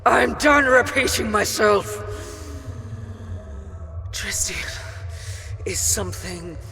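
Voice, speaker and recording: Imperious vampire elf, swooping, slow delivery. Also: female voice, mid-range voice, lively intonation, gravelly, music in the background. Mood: impatient, firm. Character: possessive female dusk elf vampire, smitten and imperious. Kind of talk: dark fantasy audio drama acting.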